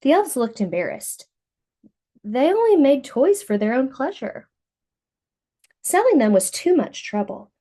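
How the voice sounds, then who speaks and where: A woman reading a picture book aloud to young children in an expressive storytelling manner. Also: sits high, measured pace, pauses often.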